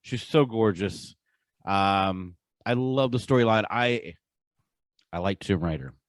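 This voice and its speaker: Male podcast host, slightly low pitch, dry close sound, casual conversation. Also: takes it slowly, no room sound. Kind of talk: casual chat.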